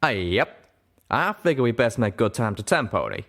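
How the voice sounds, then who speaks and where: A man's voice: medium pitch, very animated, normal speed, a bit bright, pitched above the performer's own voice. A man voicing a folksy, easygoing male pegasus pony with an in an audio story. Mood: cautioning. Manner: drawling, folksy.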